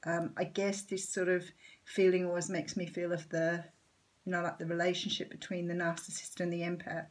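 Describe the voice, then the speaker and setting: A woman with an Australian accent giving a chatty tarot reading, her voice a little croaky this morning, with lots of ums. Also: lowish voice, level tone, moderate pace. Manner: chatty, warm-hearted, rambling with many ums. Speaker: tarot reader. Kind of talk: casual tarot reading vlog.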